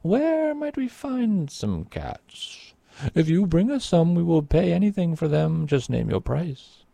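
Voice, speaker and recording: A man's voice: mid-range voice, very animated, unhurried, creaky, mellow, dry recording, heavily acted. A man voicing a grateful island king in a told folk tale, speaking with formal, courtly phrasing.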